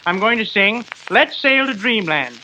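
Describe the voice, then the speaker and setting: High pitched guy singer chatting on old radio comedy, noisy clicky recording. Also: sing-song, unhurried, bright, forceful tone. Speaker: singer on the show. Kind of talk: 1930s radio comedy acting.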